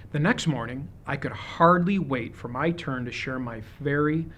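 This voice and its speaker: A man reading a children's picture book aloud, with expressive, deliberate phrasing suited to young listeners. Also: mid-range voice, dull audio. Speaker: storybook reader.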